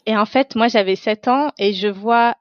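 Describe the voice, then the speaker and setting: A woman's voice: a little high, moderate pace, dry recording, highs cut off. A woman whose first language is Tamil, reflecting on her own life in an unscripted interview, searching for her words as she goes. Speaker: interview guest.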